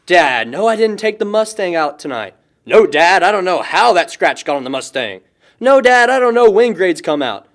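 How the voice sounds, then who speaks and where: A man acting as a goofy, teasing young guy in an indie film drama. His voice is high and swings up and down a lot as he needles his friend with carefree jokes. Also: very animated, moderate pace. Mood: needling. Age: young adult. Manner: playful, joking, needling. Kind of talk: naturalistic amateur film dialogue.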